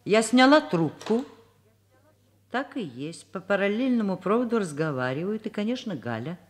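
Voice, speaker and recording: A woman's voice: mid-range voice, big pitch swings, normal speed. An actress playing a kindly, wry, educated woman professor in a Soviet radio drama, speaking thoughtfully and expressively. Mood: reflective, wry. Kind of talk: Soviet radio drama acting, first-person narration and dialogue.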